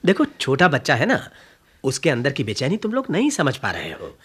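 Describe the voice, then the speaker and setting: A genial family doctor in a radio drama. His husky voice swings widely in pitch. He sounds reassuring and easy with the family. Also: huge pitch swings, normal speed. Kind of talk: Hindi radio drama acting.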